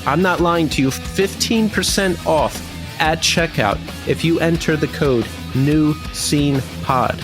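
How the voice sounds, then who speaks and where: An upbeat man hosting a podcast, his voice sitting a bit higher than most. He talks in a chatty, easygoing way. Faint guitar music plays underneath. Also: slow pace. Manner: upbeat, chatty, laughs easily. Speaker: podcast host. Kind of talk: podcast interview with ad read.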